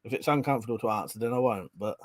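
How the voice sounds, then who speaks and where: A man's voice: medium pitch, very fast, no echo. A man hosting a live stream, reading out viewer comments in a rambling, chatty way, congested from a cold and coughing now and then. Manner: chatty, rambling, frequent ums and restarts.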